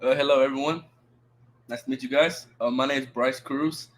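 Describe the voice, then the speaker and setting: A young man from the United States introduces himself casually as a college athlete. His pitch is mid-range, with faint noise behind him. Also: fairly even intonation, moderate pace, fairly bright tone, low-quality recording. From New York and Texas, United States. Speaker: college wheelchair basketball player, guest.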